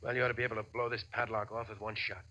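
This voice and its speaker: A man's voice: on the low side, little pitch movement, very fast, pushed and bright. A man playing an injured, sardonic ex-convict bank robber in a 1940s radio drama, terse and dryly defiant. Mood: guarded calm. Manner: terse, dry, tough-talking. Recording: muffled old radio recording.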